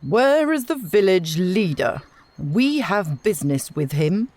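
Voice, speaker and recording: A woman's voice: fairly low pitch, sing-song, takes it slowly, a little mellow, dry recording, voice pushed up, exaggerated voice. A woman voicing an arrogant, zealous male witch-finder in a storybook reading, with pompous, declamatory delivery. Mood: haughty, commanding.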